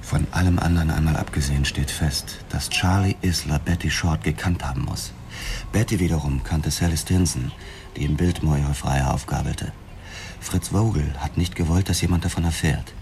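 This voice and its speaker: An actor playing a driven, curt police detective in a German radio drama, speaking in a clipped, insistent way. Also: male voice, a bass voice, normal speed, a little mellow. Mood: matter-of-fact. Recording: clear background noise.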